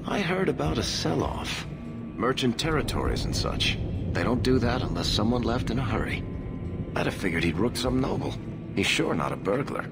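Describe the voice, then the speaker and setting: A man playing an offhand street informant in a video game, gravelly, casual and dismissive. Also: mid-range voice, big pitch swings, moderate pace. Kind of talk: video game cutscene dialogue. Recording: very loud background ambient noise.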